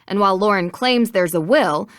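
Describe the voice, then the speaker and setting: A woman voicing an inquisitive young American detective in a video game, speaking in a measured, thoughtful way. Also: medium pitch, no echo, clean studio sound, lightly acted. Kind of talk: video game dialogue acting.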